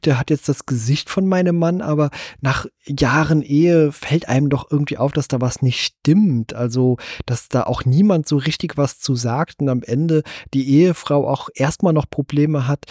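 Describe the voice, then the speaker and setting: Husky man, casual podcast host. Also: a little high, moderate pace, hardly any pauses, darkish tone, no echo, clean studio sound. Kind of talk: casual film discussion.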